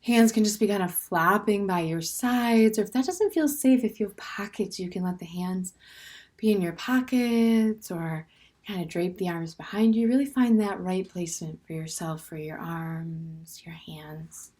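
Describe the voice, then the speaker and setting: Gentle woman guiding a meditation. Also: on the low side. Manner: gentle, unhurried, permissive phrasing with pauses. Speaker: meditation teacher. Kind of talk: guided standing meditation.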